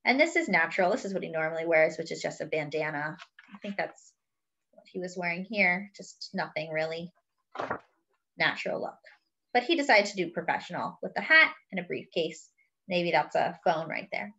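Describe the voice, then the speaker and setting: A woman reading a children's picture book aloud expressively, pausing to explain words and pictures as if to young children. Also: lowish voice, lively intonation, pushed and bright, muffled recording. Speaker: host of a children's read-aloud.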